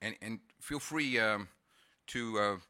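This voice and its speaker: Bright-voiced man, mixed South African–Californian accent. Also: fairly low pitch, takes it slowly, no room sound, studio quality. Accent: South African and Californian mix. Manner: hesitant, many fillers and restarts. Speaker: conference speaker. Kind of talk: conference presentation.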